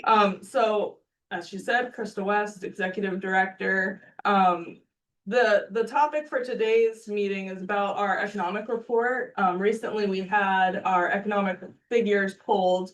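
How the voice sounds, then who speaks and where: A woman leading off a breakfast panel as executive director, earnest and upbeat, with the odd um. Her pitch sits in the middle, and she sounds set back from the microphone in a meeting room. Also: measured pace, a bit bright, recorded from a distance, rough recording. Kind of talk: opening remarks at a panel breakfast.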